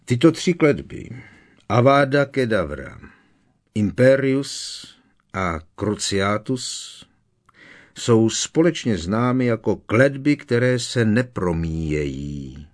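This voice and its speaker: A man's voice: fairly low pitch, sing-song. A man voicing a grizzled, war-hardened old male professor with a stern, commanding delivery. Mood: stern lecturing.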